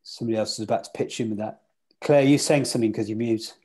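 A man's voice: fairly low pitch, fairly even intonation, fast talker, mellow, husky. A man teaching sailing weather in an online club lecture over a video call, quizzing his listeners as he goes. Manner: interactive, quizzes the audience.

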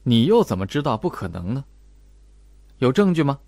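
A man's voice: medium pitch, big pitch swings, moderate pace, darkish tone, no room sound. A man voicing a cold, methodical investigator in an audiobook, laying out an accusation. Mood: probing. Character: cold, probing male investigator laying out evidence. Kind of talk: audiobook character acting.

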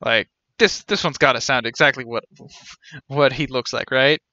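A man's voice: mid-range voice, normal speed, pushed and bright, muffled recording. A man in his thirties giving casual, joking live commentary as he streams a game. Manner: joking, wry. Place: small indoor room, seated.

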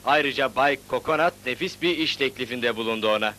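A man's voice: medium pitch, brisk, pushed and bright, soft background music, natural voice. A man narrating a dubbed cartoon in a scripted storybook delivery, on an old video rip. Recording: faintly noisy old VCD rip.

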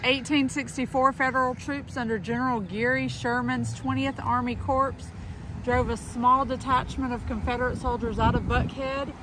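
A woman's voice: high voice, steady tone, normal speed. A woman co-hosting a travel vlog, talking outdoors in a small town. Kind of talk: casual chat. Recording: noisy outdoor recording, vehicle and ambient sounds.

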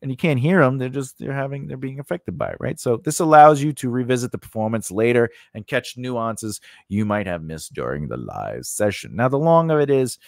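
A man in his forties giving an informal instructional talk, conversational and halting, often rephrasing himself as he goes. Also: mid-range voice, expressive, vocal fry, a little mellow, dry recording, clean studio sound. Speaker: host of a scriptwriting lesson. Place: small indoor recording space. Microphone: close studio microphone on a stand.

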